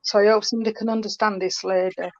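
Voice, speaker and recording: A woman giving a spiritualist mediumship reading, talking warmly and calling the listener darling and sweetheart. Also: mid-range voice, level tone, quick pace. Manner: affectionate, calls the sitter darling and sweetheart. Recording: dull lo-fi stream with faint noise.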